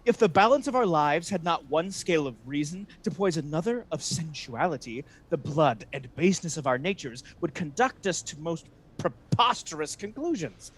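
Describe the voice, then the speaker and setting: Animated scheming villain played by a man. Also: fairly high pitch, normal speed, hardly any pauses, low-quality recording. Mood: emphatic persuasion. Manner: theatrical, persuasive, emphatic. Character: scheming, resentful, manipulative Venetian ensign villain, male. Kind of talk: Shakespearean radio play acting.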